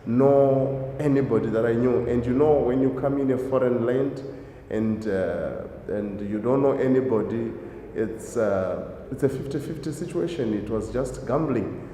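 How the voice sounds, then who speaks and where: A man's voice: medium pitch, measured pace, mellow. A middle-aged man from Zimbabwe answering interview questions about his life, hesitant, with many fillers and restarts. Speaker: guest, driving school owner. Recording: echoey hall, faint noise.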